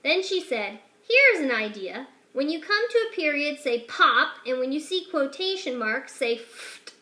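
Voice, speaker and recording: A woman's voice: a little slow, roomy sound. A woman reading a children's picture book aloud, changing her voice for the characters' lines. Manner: expressive, shifts pitch and loudness for each character.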